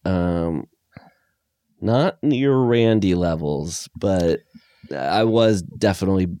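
A man's voice: low-pitched, slow, mellow, no echo. A man co-hosting a film podcast in casual chat, with hesitant phrasing that tends to trail and circle back.

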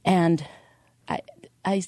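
Low, mellow-voiced woman telling her story. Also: fairly even intonation, dry recording, studio quality. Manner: halting, with repetition for emphasis. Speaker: podcast guest. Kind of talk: personal storytelling in an interview.

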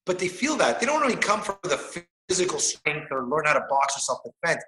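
Man in his forties, forceful bright voice, slightly high, motivational and emphatic, echoey room. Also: very animated, brisk. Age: 40s. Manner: emphatic, motivational, swears freely. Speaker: fitness coach and guest. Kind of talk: casual podcast conversation.

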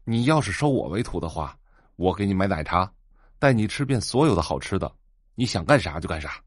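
An actor playing an eager, fawning young computer shop owner in an audio drama, with an excitable, ingratiating manner. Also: male voice, low voice, brisk, husky, dry recording, clean studio sound, exaggerated voice. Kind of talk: Chinese audio drama acting.

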